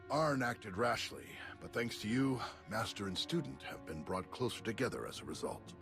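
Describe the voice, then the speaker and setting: An actor playing a thoughtful, courteous Jedi master in a video game cutscene, speaking in a measured, gently formal and wry way. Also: male voice, on the low side, expressive, vocal fry. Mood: earnest. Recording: music behind the voice.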